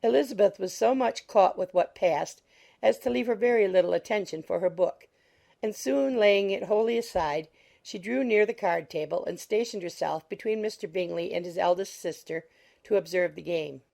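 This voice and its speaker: A woman's voice: medium pitch, normal speed, gravelly, mellow. A woman giving scripted literary narration for an audiobook.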